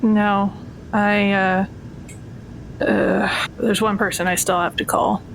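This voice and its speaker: A weary female repeat offender played by a woman in a tabletop game speaks with raw, sardonic hesitation. Her pitch is average for a woman, over light background noise. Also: little pitch movement, vocal fry, low-quality recording. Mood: sardonic, resigned. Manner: sardonic, halting. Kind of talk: improvised tabletop roleplay.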